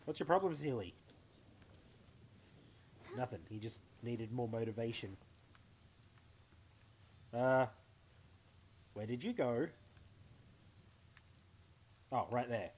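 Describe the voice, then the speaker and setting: A man's voice: mid-range voice, animated, takes it slowly, long pauses, a little mellow, a little hiss or hum. Chatty Australian guy streaming a game. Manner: chatty, playful, talks to himself and the game. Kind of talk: live gameplay commentary.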